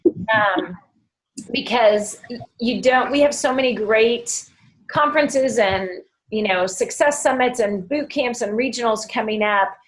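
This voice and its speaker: A woman's voice: on the high side, unhurried. A woman hosting a casual group phone call, upbeat and enthusiastic, with frequent fillers. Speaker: team call host.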